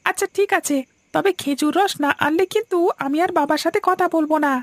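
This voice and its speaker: A woman's voice: sits high, fairly quick, dry recording, studio quality. A woman voicing an eager little village schoolboy in a cartoon, childlike and pleading. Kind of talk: Bengali cartoon story dubbing.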